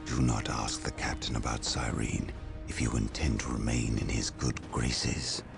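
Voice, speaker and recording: A gravelly stern psychic warrior-librarian. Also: male voice, a bass voice, little pitch movement, full character voice. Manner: grave, cold, measured warnings. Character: stern veteran male space-marine psychic librarian. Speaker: game character. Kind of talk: video game cutscene acting. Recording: game cutscene with music underneath.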